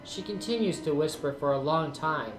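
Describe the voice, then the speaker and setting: A woman with a low voice narrates stage directions for an audio drama. The voice sounds roomy and set back from the mic, with music underneath. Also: normal speed. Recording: echoey, distant, music underneath.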